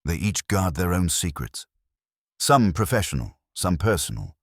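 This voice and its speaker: A man's voice: deep voice, lively intonation, a little slow, no echo, studio quality. A man voicing an imperious, cerebral master detective with a formal, clipped way of pronouncing in an audiobook story. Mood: grave, deliberate.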